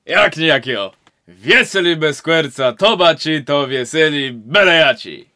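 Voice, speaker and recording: A man's voice: on the high side, expressive, measured pace, bright, forceful tone, no echo, clean studio sound. A young man with a Kashubian accent acting a villager who trades rhymed sayings in an amateur student radio play. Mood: wry. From Poland. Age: young adult.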